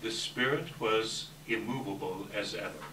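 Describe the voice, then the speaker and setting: Recorded from a distance in an echoing hall with noticeable noise, a low-voiced man narrates a story. His scripted delivery is measured, dramatic and expressive. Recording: distant echoey hall, clear background noise.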